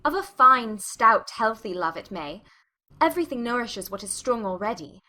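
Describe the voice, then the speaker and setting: A sharp-witted young lady, as a woman reader plays her, impatient and archly ironic. The voice sits fairly high with a bright, forward edge, in classic storybook dialogue. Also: sing-song. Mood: teasing. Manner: arch, quick-witted, teasing. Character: witty, impatient young gentlewoman with playful irony. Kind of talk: dramatized audiobook character reading.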